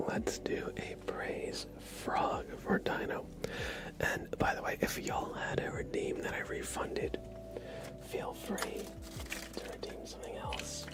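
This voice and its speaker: A man hosting an ASMR livestream, chatting casually and softly close to a sensitive microphone. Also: medium pitch, vocal fry. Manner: whispered, soft-spoken, unhurried. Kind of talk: ASMR, casual stream chat.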